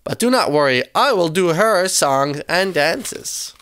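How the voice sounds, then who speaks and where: A woman's voice: fairly low pitch, animated, measured pace, a bit bright, smooth, dry recording. A woman voicing a bossy, theatrical stage-singer sister in a children's story, with a matter-of-fact way of talking. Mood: showy, composed.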